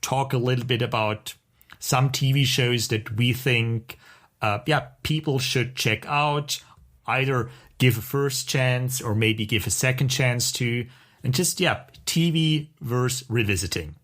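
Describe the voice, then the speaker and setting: A man's voice: mid-range voice, unhurried, a bit bright. Enthusiastic man co-hosting a casual podcast. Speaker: podcast co-host.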